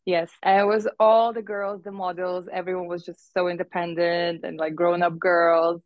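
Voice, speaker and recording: A woman's voice: fairly low pitch, level tone, normal speed, no echo, muffled recording. Chatty young woman telling stories, dry sound. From Uzbekistan. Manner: chatty storyteller who laughs often and acts out quoted voices. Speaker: guest, fashion model. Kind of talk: casual interview chat.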